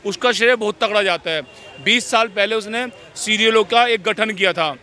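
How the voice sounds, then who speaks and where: Male street interviewee, high pitch, bright forceful voice, preachy, frequent rhetorical tags. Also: normal speed.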